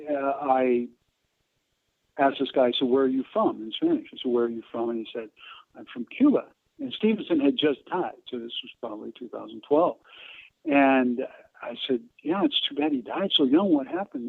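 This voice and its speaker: Halting older American man on a phone line. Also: mid-range voice, creaky, no echo. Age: around 70. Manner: halting, digressive, pausing mid-sentence. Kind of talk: conversational interview storytelling.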